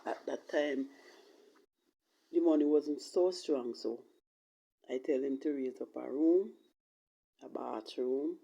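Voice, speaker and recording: A woman with a Jamaican accent telling a personal story casually in patois, in a halting, emphatic way that repeats phrases. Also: very deep voice, creaky, dark tone, slightly rough, poor audio. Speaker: vlogger telling own life story.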